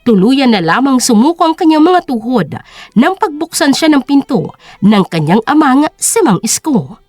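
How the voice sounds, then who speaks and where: A woman's voice: sits high, big pitch swings, moderate pace, a little mellow, no echo. A woman with a Filipino accent narrating a scripted story in a dramatic style.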